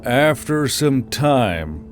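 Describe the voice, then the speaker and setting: A man voicing a calm, protective man in a fantasy audiobook, speaking in a measured, gently chiding way. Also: mid-range voice, soft background music. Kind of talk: audiobook dialogue.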